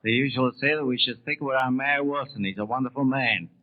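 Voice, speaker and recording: A man's voice: mid-range voice, fast. A man answering as a guest in a 1930s radio interview, plain-spoken and brief. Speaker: barber being interviewed. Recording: tinny 1930s radio broadcast.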